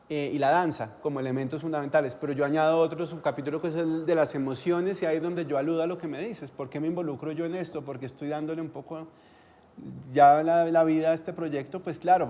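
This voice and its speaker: Man, guest speaker at an arts talk. Fairly high voice, discursive and enthusiastic, informal delivery. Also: a little mellow, slight background noise. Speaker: guest speaker, theatre researcher and publisher. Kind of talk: informal conference presentation.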